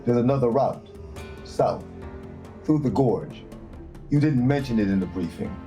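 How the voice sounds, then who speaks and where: A man's voice: mid-range voice, measured pace, dark tone, faint music behind, close to an everyday voice. A man reading the part of a calculating militia boss at a table read, terse and probing. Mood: pressing.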